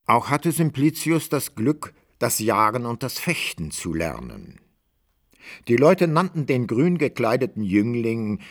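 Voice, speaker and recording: A man narrating a literary radio drama from a script, measured and wry in his storytelling. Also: fairly low pitch.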